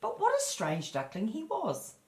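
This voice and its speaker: A woman storyteller reading a children's fairy tale aloud in a measured, expressive way. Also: fairly high pitch. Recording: echoey room.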